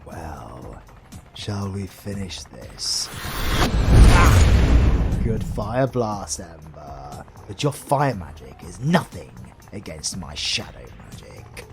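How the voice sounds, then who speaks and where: A man's voice: low voice, big pitch swings, extremely slow, gravelly, over loud music, heavily acted. A British man playing a theatrical supervillain in a romantic comedy audio roleplay, swinging between menace and exasperation at the heroine he is smitten with. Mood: smug, menacing. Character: flustered theatrical male supervillain, smitten with his heroine rival.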